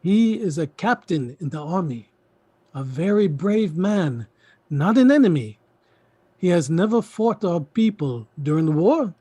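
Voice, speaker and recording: Guy acting a pushy pleading middle-aged uncle in a stage play, super animated, repeats himself, dry sound. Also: high-pitched, a little slow, breathy edge, no room sound, full character voice. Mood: coaxing. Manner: emphatic, repetitive, pleading. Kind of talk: theatrical stage play reading.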